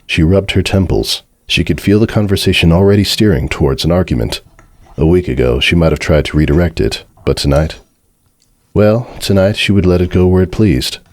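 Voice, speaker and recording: Casting: male story narrator, extremely deep voice, dramatic scripted read, close dry sound. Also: animated, brisk, darkish tone, no room sound.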